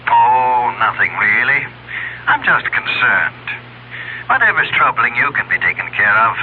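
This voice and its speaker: Casting: kindly older patriarch, lively inflection, courteous and reassuring, narrow muffled old radio phone sound. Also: male voice, mid-range voice, a little slow, slight background noise. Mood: concerned, reassuring. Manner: polite, paternal, reassuring. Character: kindly, fatherly older male boss and future father-in-law. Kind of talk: vintage radio drama acting.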